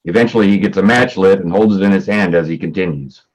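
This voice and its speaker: A man's voice: low-pitched, fast talker, low-quality recording. A man narrating scripted stage directions for a play reading.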